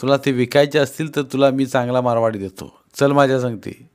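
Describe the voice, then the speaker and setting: A man voicing a brash village man who talks loudly and bluntly. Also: mid-range voice, fairly even intonation, brisk, close to an everyday voice. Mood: bossy. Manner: loud and blunt.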